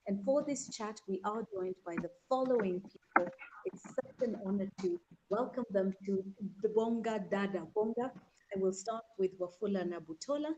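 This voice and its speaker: A soft-spoken woman, hosting an online panel discussion in a measured way over a video call. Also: medium pitch, rough recording. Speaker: host.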